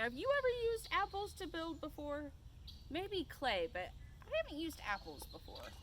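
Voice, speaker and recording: A woman reading a children's picture book aloud outdoors, expressively, with asides to young listeners. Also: a little high. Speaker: storytime reader. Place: outdoors by a playhouse. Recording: clear outdoor background noise.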